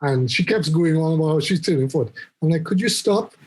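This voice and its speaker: A man in his mid-forties talks through ideas on a podcast, often restarting as he hunts for a word. His voice sits a bit high and sounds husky. He teases his co-host with dry jabs. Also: animated, a little fast. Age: 40s. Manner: rambling, restarts often, teasing. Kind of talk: casual chat. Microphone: remote call.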